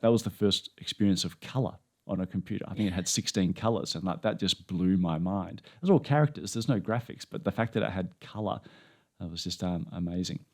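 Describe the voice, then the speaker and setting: A man's voice: low voice, fairly quick, darkish tone. A man hosting an interview, chatting casually and rambling through anecdotes. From Australia. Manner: rambling, anecdotal, self-deprecating. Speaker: podcast host.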